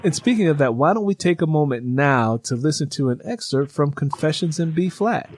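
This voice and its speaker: A man's voice: fairly high pitch, lively intonation, fairly quick, darkish tone, no room sound. A man hosting an interview, chatting casually about books as an audiobook narrator who reads a great deal. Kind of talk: casual book chat.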